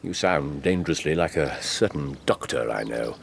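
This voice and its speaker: An actor playing a brilliant, self-assured English detective in a radio drama, precise, lecturing and wry. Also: male voice, extremely low pitch, animated, normal speed, creaky, slight background noise, full character voice. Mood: wry, courteous.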